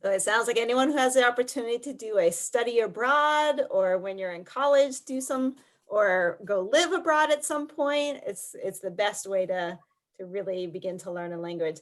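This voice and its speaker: A woman's voice: mid-range voice, animated, normal speed. A woman hosting a science presentation, informally relaying audience questions and moderating. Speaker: host of an online science presentation series.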